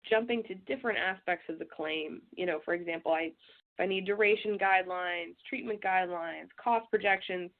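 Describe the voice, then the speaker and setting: A woman presenting a software webinar, a little stuffy from a cold, talking in a relaxed way with frequent fillers. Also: fairly low pitch, normal speed. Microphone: web call audio. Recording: tinny phone-like audio.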